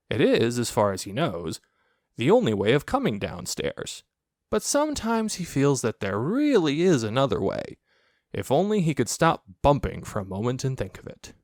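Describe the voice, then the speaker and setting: A man reading a children's story aloud as an audiobook narrator, expressive and playful in his storytelling. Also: medium pitch, no room sound, studio quality. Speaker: audiobook reader.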